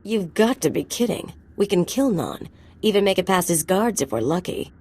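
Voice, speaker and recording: A woman's voice: on the low side, lively intonation, normal speed, darkish tone, breathy edge. A woman playing a cocky, sardonic mercenary in a video game, wry, cool and teasing. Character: cocky, sardonic female mercenary, tough and flirtatious. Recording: music under the voice.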